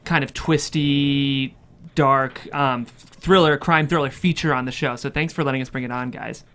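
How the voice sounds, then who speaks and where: A man's voice: medium pitch, fairly even intonation, normal speed, pushed and bright, clear, resonant tone. A man hosting a show, talking casually with his interview guests.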